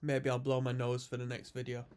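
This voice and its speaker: A man's voice: medium pitch, monotone, brisk, darkish tone, a little hiss or hum. A man with a stuffy cold, chatting casually as he reacts to a metal song and explains what the guitars and synths are doing. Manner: chatty, musing, explains music terms. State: congested from a cold. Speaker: music reaction host.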